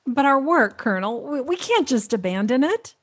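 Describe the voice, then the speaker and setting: High, animated female agent. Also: normal speed, husky, heavily acted. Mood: dismayed. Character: earnest, dismayed female intelligence agent. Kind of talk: radio drama acting.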